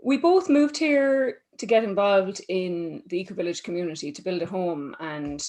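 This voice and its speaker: Cheerful Irish woman from Galway, lowish voice. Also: moderate pace, a little mellow. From East Galway, Ireland. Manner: cheerful, laughs easily. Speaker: guest. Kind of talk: casual interview answers.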